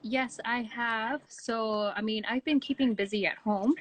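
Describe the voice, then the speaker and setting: A woman's voice: on the high side, steady tone, a little slow. A woman from Tanzania, giving friendly, casual answers as an interview guest. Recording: slightly noisy online call.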